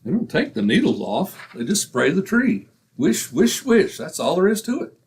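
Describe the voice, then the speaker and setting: A man's voice: on the high side, huge pitch swings, moderate pace, a little hiss or hum. A man acting a stubborn, penny-pinching husband in old-time radio comedy, indignant and folksy in manner. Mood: grumbling, scheming.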